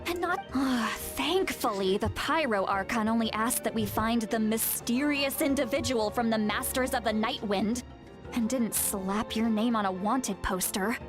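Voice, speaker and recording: A woman's voice: a little high, normal speed, lightly acted. A woman voicing a youthful-looking granny in an anime game, stern, sarcastic and exasperated but secretly caring. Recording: music behind the voice.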